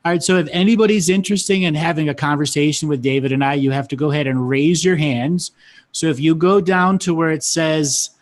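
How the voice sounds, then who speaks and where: A man hosting a live call-in show, friendly and guiding, patiently repeating his questions to callers. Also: fairly high pitch. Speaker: host.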